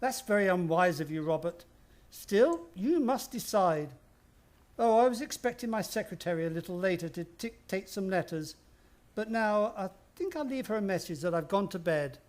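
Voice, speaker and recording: An actor playing a sly, menacing old villain in a radio suspense play, speaking with unctuous, theatrical courtesy. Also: male voice, high voice, lively intonation, moderate pace. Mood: gloating, menacing. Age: older. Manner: mock-polite, unctuous, theatrical.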